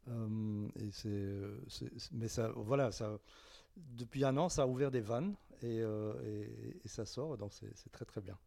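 A man's voice: lowish voice, measured pace, creaky, warm tone. A man whose first language is not French, speaking in a thoughtful, hesitant way in a round-table discussion.

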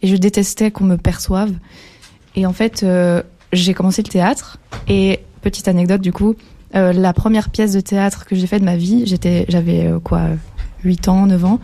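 Young woman with a mellow voice. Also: medium pitch, level tone, normal speed. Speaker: workshop participant. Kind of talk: casual storytelling.

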